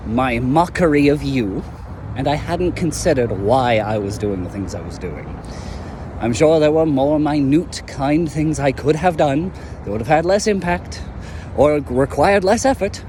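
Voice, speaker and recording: A man's voice: on the high side, darkish tone, a little hiss or hum. A man voicing a sneering male villain in an amateur audio drama who talks in a condescending, mocking way.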